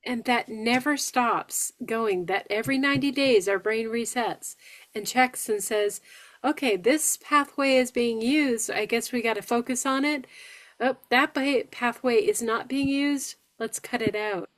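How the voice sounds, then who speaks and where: A woman from New York State who has aphasia, speaking slowly and deliberately with careful pronunciation as she shares her experience with a support group. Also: on the high side, a bit bright, poor audio.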